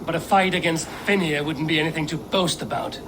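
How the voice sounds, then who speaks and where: Man acting a confident, high-pitched Viking leader. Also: fairly even intonation, fairly quick, noisy background, close to an everyday voice. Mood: stern, challenging. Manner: mocking, assured, goading. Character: confident, wry, commanding Viking warrior leader. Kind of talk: video game cutscene acting.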